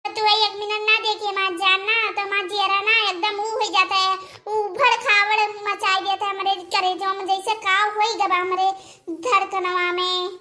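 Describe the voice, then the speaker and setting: A woman's voice: very high-pitched, little pitch movement, moderate pace, pushed and bright. A woman voicing a clingy, lovesick young woman in an amateur cartoon, pleading with endearments in an Awadhi accent. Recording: echoey room with faint noise.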